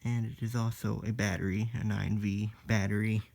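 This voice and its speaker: A person giving a casual hands-on electronics demo in a halting, piecemeal way. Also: medium pitch, flat delivery, vocal fry, darkish tone. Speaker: demonstrator in a homemade electronics video.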